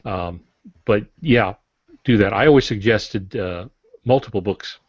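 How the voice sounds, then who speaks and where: A man's voice: on the low side, measured pace, breathy edge. A man speaking as a guest author on a podcast, explaining things conversationally in an informal, folksy, opinionated way. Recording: roomy, dull lo-fi podcast audio.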